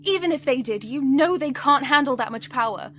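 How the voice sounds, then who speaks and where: A woman's voice: high-pitched, animated, fairly quick, fairly bright tone, slight background noise. A woman playing a protective, exasperated companion to a villain in an audio roleplay, speaking earnestly and reproachfully. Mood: worried, pleading. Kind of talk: dramatic audio roleplay acting.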